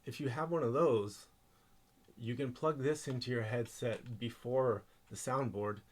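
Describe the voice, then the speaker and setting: A man presenting an informal hands-on tech tutorial, speaking haltingly as he thinks aloud while handling gear. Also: medium pitch.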